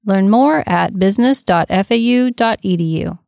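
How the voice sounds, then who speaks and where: A woman reading a scripted sponsor message in a measured, promotional way. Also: medium pitch, gravelly. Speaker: sponsor announcer.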